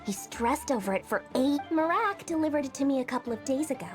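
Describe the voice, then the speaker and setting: A woman voicing a cheeky, excitable young girl merchant in a video game, with bubbly, theatrical sales patter. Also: high voice, quick pace. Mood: excited, boastful. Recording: music behind the voice.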